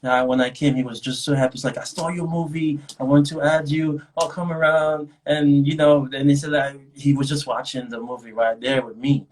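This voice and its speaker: A man's voice: a little high, level tone, normal speed, few pauses, a little hiss or hum, low-quality recording. A young adult man with a Nigerian accent, chatting casually as a guest, rambling with many restarts and frequent laughs. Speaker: actor guest. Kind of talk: casual video chat.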